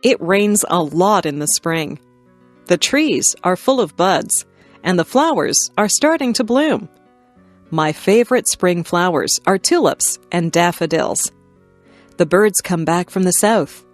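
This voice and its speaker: A woman narrating simple scripted stories for language learners, reading in careful, clearly separated phrases. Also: lowish voice, lively intonation, measured pace, no room sound, soft background music. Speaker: narrator of an English listening lesson. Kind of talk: scripted narration, read-aloud beginner stories.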